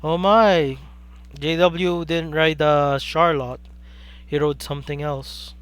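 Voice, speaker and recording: A young man with a Filipino accent calls a card game live, his voice pitched a little above the usual for a man. He gets excitable and keeps asking rhetorical questions, now and then greeting viewers in chat. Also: slow, clear, resonant tone. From Philippines. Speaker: caster for a card game stream. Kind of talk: live play-by-play commentary.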